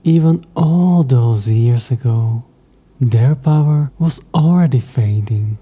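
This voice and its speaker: A man voicing a smug, coy, centuries-old demon in an audio roleplay, speaking in a hushed, breathy voice with haughty, old-fashioned, taunting phrasing. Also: medium pitch, slow pace. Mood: smug, amused. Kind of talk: scripted audio roleplay addressed to the listener.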